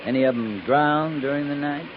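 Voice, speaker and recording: Actor as terse cavalry captain, muffled old radio. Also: male voice, fairly high pitch, normal speed, close to an everyday voice. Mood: dry, musing. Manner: terse, understated, dry. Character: seasoned cavalry captain, dry, calm, commanding. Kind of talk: 1950s radio western acting. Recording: muffled, hissy 1950s radio recording.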